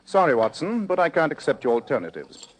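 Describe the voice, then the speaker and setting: A man playing a keen, self-assured English gentleman detective in an old-time radio drama, speaking formally and with precise authority. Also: a little high, very animated, fairly quick, slight background noise. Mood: analytical, assured.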